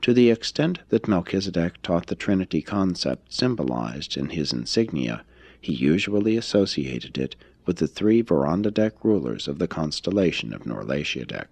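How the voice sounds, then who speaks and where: A man reading a religious text aloud as scripted narration, in a formal, measured, expository manner. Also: deep voice, big pitch swings, darkish tone, breathy edge, dry recording. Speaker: audiobook reader.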